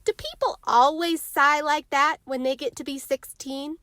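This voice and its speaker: A woman's voice: high-pitched, fairly even intonation, measured pace, sharp tone, no echo, clean studio sound, pitched above the performer's own voice. A woman voicing a confident, eager ten-year-old boy in an emphatic, earnest storybook read-aloud. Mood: curious. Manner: emphatic, childishly earnest and boastful.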